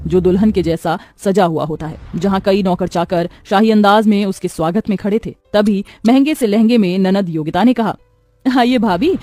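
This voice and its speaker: A woman's voice: mid-range voice, warm tone, no echo. Woman reading a dramatic moral story as narrator, mid-range voice, light music behind.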